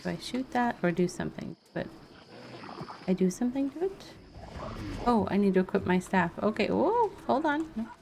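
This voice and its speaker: A woman's voice: mid-range voice, big pitch swings, moderate pace, gravelly, a little mellow, slight background noise. A woman chatting casually and informally as she livestreams a video game, laughing easily. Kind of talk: casual livestream gameplay chat.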